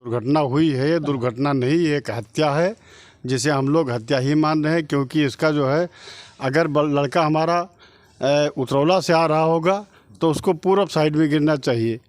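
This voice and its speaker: A man's voice: a little high, moderate pace, darkish tone, dry recording. A middle-aged man from Uttar Pradesh giving testimony in an interview, insistently arguing point by point and repeating his claims. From Uttar Pradesh, India. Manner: insistent, argues point by point, repetitive. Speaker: relative of the victim being interviewed.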